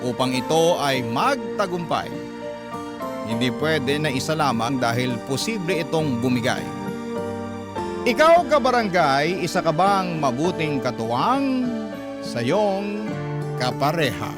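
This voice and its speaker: A man's voice: on the high side, sing-song, a little slow, pauses often. A man hosting a radio love-story show, narrating scripted letters in a dramatic storytelling style. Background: background music.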